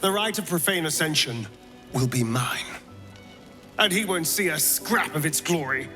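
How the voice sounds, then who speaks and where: Bright, theatrical, vengeful vampire man. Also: a little high, lively intonation, moderate pace, soft background music. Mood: grandiose, vengeful. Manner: dramatic, grandiose, persuasive. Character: vengeful, theatrical vampire spawn man plotting to usurp his master. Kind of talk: video game cutscene acting.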